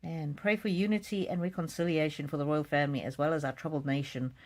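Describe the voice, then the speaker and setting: Lady doing a live card reading stream, lowish voice, gentle n musing, kinda chatty, repeats herself. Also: steady tone, fast talker. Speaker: psychic card reader hosting a livestream. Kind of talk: casual live chat, reading out comments.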